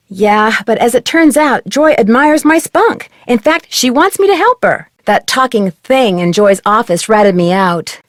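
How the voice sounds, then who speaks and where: An actress playing a curious, plucky young woman detective in an adventure game, speaking in a clear, conversational, scripted way. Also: mid-range voice, no room sound, studio quality, close to an everyday voice.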